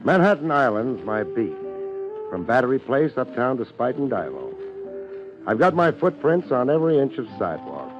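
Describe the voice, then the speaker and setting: An actor playing a laid-back, wisecracking New private detective in a 1950s radio drama, speaking in wry, clipped slang. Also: male voice, medium pitch, sing-song, moderate pace, lo-fi sound, music in the background, lightly acted. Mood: wry, narrating. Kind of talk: 1950s radio detective drama acting, first-person narration.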